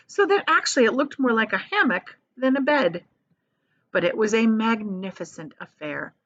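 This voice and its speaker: A woman's voice: on the high side, a bit bright, lo-fi sound. A woman reading a children's story aloud like a teacher, expressively, breaking off for plain, casual explanations. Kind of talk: animated storybook read-aloud with casual asides.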